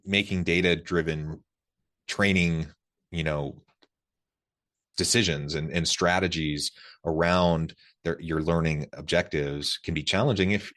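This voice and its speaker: Podcast host guy, really deep voice, wordy, kinda repeats himself while interviewing. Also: fairly bright tone. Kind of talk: conversational interview.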